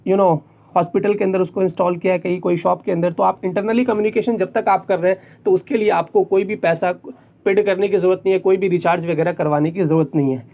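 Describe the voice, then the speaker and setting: A man's voice: high voice, steady tone, fast, a little mellow. A man with an Indian accent presenting a tech tutorial, explaining things step by step and often repeating points for clarity. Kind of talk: explanatory tutorial, semi-scripted.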